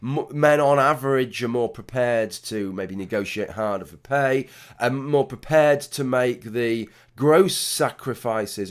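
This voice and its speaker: A man, hoarse and gravelly after a late night, talking through casual vlog commentary with blunt swearing and many restarts. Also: mid-range voice. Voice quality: gravelly, husky. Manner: rambling, frequent restarts, blunt swearing, wry asides. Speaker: YouTube commentator.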